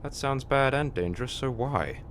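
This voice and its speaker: A man playing a fretful, sardonic gentleman in an audio drama, a reluctant companion who complains drily and formally. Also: medium pitch, expressive, normal speed, a little hiss or hum, natural voice. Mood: wary. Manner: dry, complaining, formal wording.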